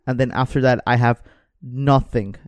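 A young man with a smooth, clear voice at a medium pitch, chatting as a podcast co-host. He jokes loosely in slang and swears freely. The sound is close and dry. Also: steady tone, normal speed, no room sound. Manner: slangy, joking, profane. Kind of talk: casual chat.

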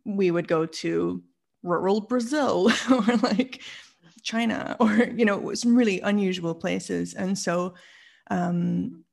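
A woman's voice: medium pitch, takes it slowly, gravelly. A woman in her forties with a Canadian accent, telling personal stories casually and chattily as a podcast guest. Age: 40s.

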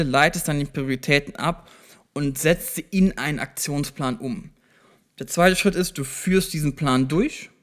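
A man hosting a solo self-improvement podcast, talking directly to the listener and explaining step by step, conversational with small restarts. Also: fairly high pitch. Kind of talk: solo motivational podcast talk.

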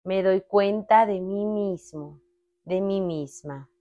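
A woman narrating a guided meditation in a calm, instructional manner with long pauses between short phrases. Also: medium pitch. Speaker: meditation guide, podcast host.

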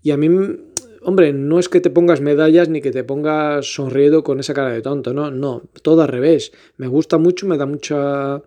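A man's voice: a little high, fairly even intonation, moderate pace, warm tone. A man speaking Spanish, hosting a casual solo podcast in an earnest, rambling way full of restarts. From Portugal. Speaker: podcast host, photographer.

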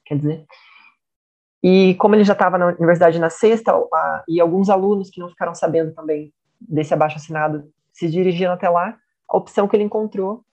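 Measured student recounting memories. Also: female voice, fairly low pitch, darkish tone, poor audio. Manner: measured, recounting with frequent tag questions. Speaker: university student. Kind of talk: testimonial interview.

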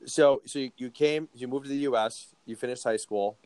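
A man's voice: medium pitch, normal speed, a little mellow, clear, resonant tone, no room sound. A man hosting a podcast interview, talking casually and often restarting and repeating words. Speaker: podcast host.

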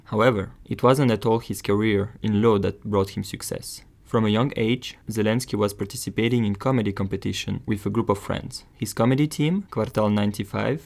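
A man's voice: fairly low pitch, gravelly, darkish tone. A man co-hosting a podcast, reading scripted narration. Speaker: podcast co-host.

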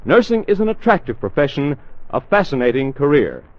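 A dull, lo-fi 1940s radio recording carries an American male announcer. His voice sits fairly high and swings expressively as he reads formal, carefully enunciated copy in period broadcast style. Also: very animated, brisk, dry recording.

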